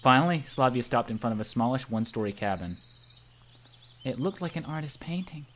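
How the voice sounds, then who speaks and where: A man's voice: medium pitch, expressive, fairly quick, slight background noise. A man reading a visual novel aloud as narrator and player, in a casual, playful, theatrical way.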